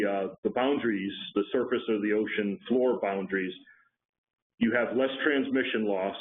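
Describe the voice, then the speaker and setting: A man giving a technical lecture on a conference call, talking with hesitant restarts and fillers. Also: fairly low pitch. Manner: hesitant, many restarts, self-deprecating. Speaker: presenter on marine acoustics. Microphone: phone line. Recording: tinny phone line, faint noise.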